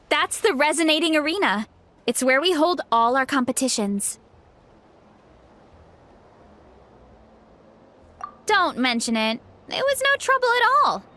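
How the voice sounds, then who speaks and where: High-voiced, breezy young adventurer girl. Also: big pitch swings, moderate pace, bright, forceful tone, heavily acted. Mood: cheerful. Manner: laid-back, breezy, eager. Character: easygoing, hearty, food-loving young woman athlete and adventurer. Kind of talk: video game voice acting. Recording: game music behind the voice. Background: game music.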